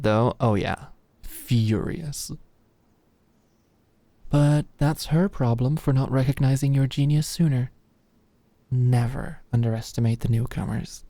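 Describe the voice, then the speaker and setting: A woman's voice: extremely low pitch, dark tone, no echo. A woman playing a cocky, adoring young British businesswoman in a scripted audio roleplay, smug and teasing. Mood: proud, conspiratorial. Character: Alex, cocky, adoring young queer businesswoman celebrating with fiancée.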